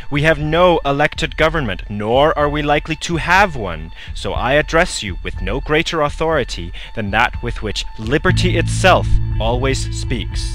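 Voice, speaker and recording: A man gives a scripted dramatic reading of a declaration in a solemn, deliberate, oratorical manner. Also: medium pitch. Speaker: reader of a written manifesto. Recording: voice over a loud music bed. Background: loud music.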